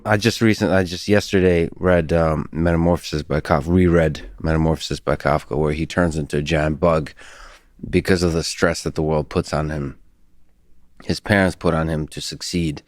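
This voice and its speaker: Podcast host, low voice, reflective and halting, dry close sound. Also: male voice, steady tone, no room sound. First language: Russian. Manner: reflective, halting, self-described introvert. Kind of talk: casual long-form conversation.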